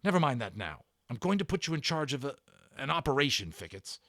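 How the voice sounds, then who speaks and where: Guy as a bossy kid in a storybook, urgent n terse, giving orders, really animated. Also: medium pitch, fairly quick, dry recording, clean studio sound, voice pushed up. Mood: commanding. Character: bossy, determined boy leading a secret battle.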